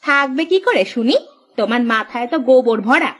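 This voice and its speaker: A woman voicing a sharp-tongued, practical fisherman's wife in a cartoon, bossy and scolding. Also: high voice, animated, a little fast. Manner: nagging, scolding. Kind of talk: Bengali cartoon story voice acting.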